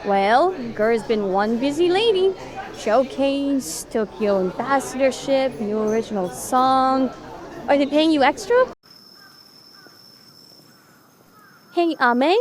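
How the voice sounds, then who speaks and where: A woman playing an upbeat, bossy young woman who leads her friends in an audio drama, with a brisk, teasing manner. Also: high-pitched, lively intonation, a crowd in the background. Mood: curious, brisk. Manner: brisk, teasing, take-charge. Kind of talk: animatic audio drama acting.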